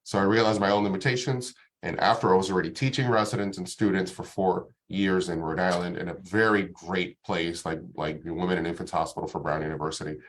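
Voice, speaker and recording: A man, a doctor giving a relaxed, informal talk to an online audience with many ums and restarts. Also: deep voice, quick pace, few pauses, slight background noise. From Dominican Republic. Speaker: guest doctor presenting.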